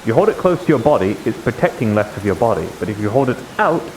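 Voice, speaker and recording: A man's voice: on the low side, big pitch swings, quick pace, darkish tone, a little hiss or hum, poor audio. A man playing a patient, one-eyed shield fighter who explains and cautions, in improvised fantasy roleplay. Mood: patient, instructive.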